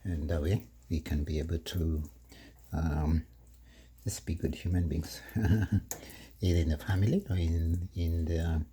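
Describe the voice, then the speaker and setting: Man, middle-aged, very low voice, meandering home cooking vlog talk, clear background hiss and clicks. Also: steady tone. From Kenya. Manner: slow, rambling, with many fillers and soft laughs. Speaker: vlogger. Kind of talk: casual cooking vlog monologue. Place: home kitchen.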